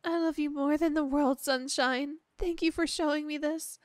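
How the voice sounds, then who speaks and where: A woman's voice: sits high, steady tone, moderate pace, dry recording, studio quality, natural voice. A woman playing a clingy, insecure, devoted young yandere girlfriend in an ASMR roleplay, hesitant and gushing. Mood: happy, adoring. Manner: gushing, hesitant, breaking off and restarting.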